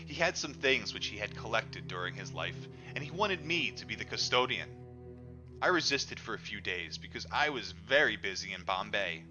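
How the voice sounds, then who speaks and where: A man's voice: medium pitch, sharp tone. A man reading a book aloud as dramatic first-person narration, emphatic and theatrical, stretching the words he stresses. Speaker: audiobook reader. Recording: dull lo-fi recording with music behind. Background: music.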